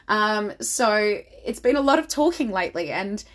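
A woman hosting her own podcast, her voice a little raspy from a lot of talking, speaking in an enthusiastic, motivational and direct way. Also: medium pitch, expressive, normal speed, a bit bright. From Australia. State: slightly raspy voice from much talking. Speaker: podcast host. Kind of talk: solo podcast monologue.

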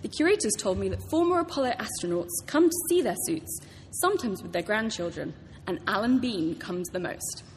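A woman's voice: medium pitch, expressive, normal speed. British woman speaking in an echoing hall. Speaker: author introducing a public reading. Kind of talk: prepared talk to a live audience. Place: large hall at a public library event. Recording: reverberant hall, clear background noise.

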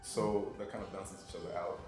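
A man, a singer being interviewed, talking in a relaxed, chatty way with frequent laughs and repeated words. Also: low-pitched, quick pace, echoey room, music in the background. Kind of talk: casual interview talk.

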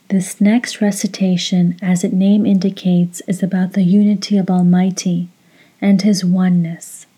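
A woman leading a guided meditation, speaking softly and breathily in a measured, instructive way with long pauses. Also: mid-range voice, rough recording. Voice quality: soft, breathy. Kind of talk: slow guided meditation with recitation.

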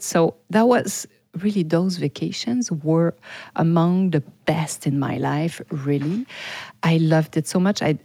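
A woman's voice: lowish voice, darkish tone, no room sound, clean studio sound. A middle-aged woman with a French accent, hosting a podcast in a chatty way, often laughing and restarting her sentences. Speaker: podcast host. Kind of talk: casual solo podcast talk.

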